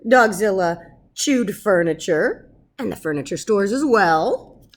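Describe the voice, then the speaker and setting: A woman reading a children's story aloud as narrator, dramatic and expressive. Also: mid-range voice, slow pace. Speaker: storybook reader. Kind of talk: animated read-aloud narration.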